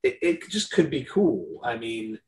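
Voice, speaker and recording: A man's voice: mid-range voice, lively intonation, measured pace. Easygoing man in a roomy, distant sound. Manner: easygoing, agreeable, reads viewer comments aloud. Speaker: podcast co-host. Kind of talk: casual horror movie chat. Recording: roomy sound, mic set back, faint hiss.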